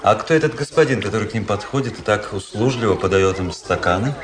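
An actor playing a cool, ironic young Russian officer who narrates his memoirs in a measured, detached way in a radio drama. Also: fairly low pitch, lively intonation, a crowd in the background, close to an everyday voice. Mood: ironic, teasing. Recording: radio play with prominent music behind. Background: music.

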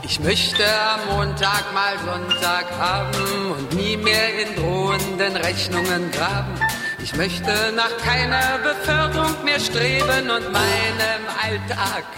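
A woman delivers a cabaret song, moving between singing and speech. Her phrasing is slow and unhurried. The sound is echoey, with loud instrumental music around her. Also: medium pitch. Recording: echoey music track with long reverb. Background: loud musical accompaniment.

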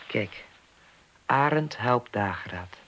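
A man's voice: medium pitch, animated, slow, noisy background. An actor playing an earnest, naive, lonely man in a naturalistic radio play, talking in a hesitant, rambling, plain-spoken way. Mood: earnest, wistful. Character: earnest, naive, lonely man worried about a missing woman.

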